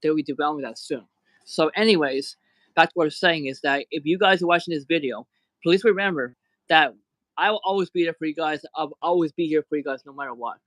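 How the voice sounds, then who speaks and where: A man's voice: on the high side, brisk, fairly bright tone, no room sound, rough recording. A man hosting a livestream who talks in an angry rant, often repeating words and restarting his sentences. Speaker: livestream host.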